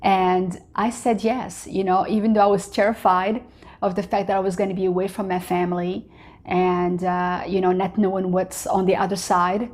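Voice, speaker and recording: A woman's voice: mid-range voice, level tone, normal speed, darkish tone. Earnest Moroccan-born woman vlogger. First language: not English. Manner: earnest, open, many fillers like "you know" and "uh". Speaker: vlogger host. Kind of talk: personal motivational talk to camera.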